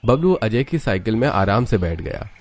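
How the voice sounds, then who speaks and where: Low-voiced male story narrator. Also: lively intonation, moderate pace, slight background noise. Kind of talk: scripted cartoon story narration.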